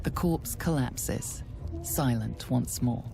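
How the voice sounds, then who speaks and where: A woman narrating a dark fantasy video game in a grave, measured, ominous storytelling manner. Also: very deep voice, gravelly, over music, exaggerated voice. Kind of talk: scripted second-person game narration.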